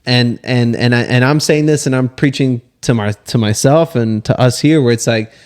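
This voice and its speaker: A man's voice: medium pitch, normal speed, smooth. A man co-hosting a casual faith podcast, talking in a preachy, exhorting yet conversational way. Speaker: podcast co-host.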